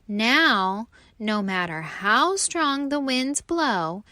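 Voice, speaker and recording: A woman's voice: a little high, animated, drawn-out words, sharp tone, no room sound, studio quality, full character voice. A woman voicing an encouraging, helpful little leaf in a children's storybook, eager and supportive.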